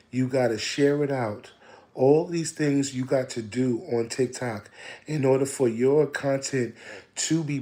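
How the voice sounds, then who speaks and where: Man, a bit hoarse, mellow low tone, repetitive motivational coach. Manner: preachy, repeats lines, calls listeners "family". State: slightly hoarse. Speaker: digital marketing coach. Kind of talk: casual motivational live stream.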